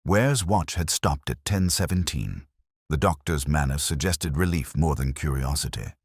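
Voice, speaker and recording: Very deep-voiced brooding detective narrator acted by a man. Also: lively intonation, husky, no echo, clean studio sound, full character voice. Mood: brooding, reflective. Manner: measured, brooding, literary. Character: melancholy, observant male police inspector recounting a case. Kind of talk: scripted first-person detective audio drama narration.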